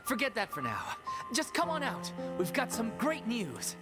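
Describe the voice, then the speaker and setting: A woman's voice: fairly high pitch, wildly swooping pitch, moderate pace. A woman voicing a casual, upbeat young theatre troupe member in a video game, in an easygoing manner. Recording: loud music behind the voice.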